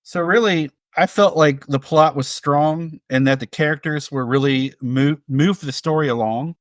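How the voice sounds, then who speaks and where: A man co-hosting a casual film discussion, speaking in a measured, appreciative and somewhat halting way. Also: fairly high pitch, no echo, studio quality. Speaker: podcast co-host.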